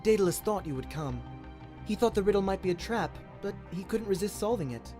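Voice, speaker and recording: A man voicing a polite, flattering young princess with a steely streak in an audio story. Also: high-pitched, fairly quick, soft background music, voice pushed up.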